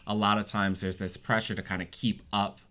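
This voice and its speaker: A man's voice: lowish voice, little pitch movement, brisk, bright, forceful tone. A man co-hosting a casual podcast chat, talking reflectively with many restarts. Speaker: podcast co-host.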